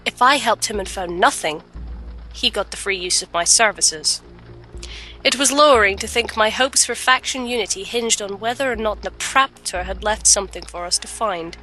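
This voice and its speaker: An actress playing an earnest, determined alchemist adventurer in a fantasy audio drama, speaking in a measured, serious way. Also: female voice, medium pitch, bright, forceful tone, natural voice, rough recording. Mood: reflective. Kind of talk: audio drama narration and dialogue. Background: music.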